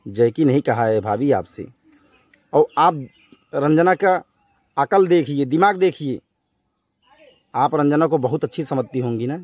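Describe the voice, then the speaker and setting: A man's voice: mid-range voice, lively intonation, measured pace, long pauses, darkish tone, no room sound, lo-fi sound. A man speaking as a vlogger in a confrontational rant to his viewers, scolding and emphatic, often repeating himself. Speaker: YouTube vlogger addressing viewers.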